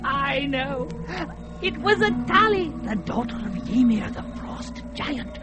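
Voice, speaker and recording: A haunted old warrior. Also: male voice, extremely high pitch, sing-song, over loud music, heavily acted. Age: older. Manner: slow, mournful, wailing delivery. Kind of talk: classic radio drama acting.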